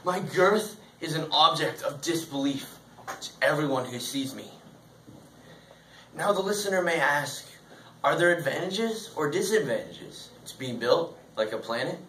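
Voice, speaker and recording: A man's voice: fairly high pitch, animated, measured pace, fairly bright tone. A man performing a comic stage monologue as a hugely obese, grandiose philosopher, speaking in a theatrical, mock-solemn way. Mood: grave, confessional. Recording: roomy, distant mic, faint noise.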